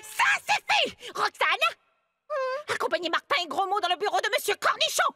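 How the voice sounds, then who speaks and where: A woman's voice: very high voice, big pitch swings, normal speed, pushed and bright, no echo, faint music behind, exaggerated voice. A woman voicing a stern schoolteacher in a French cartoon, formal and sarcastic. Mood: angry.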